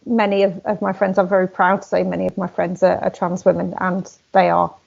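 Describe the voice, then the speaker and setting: A woman's voice: lowish voice, little pitch movement, a little fast, gravelly, a little mellow, lo-fi sound. A woman hosting an interview, asking thoughtful questions with fillers and hesitations. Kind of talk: interview conversation.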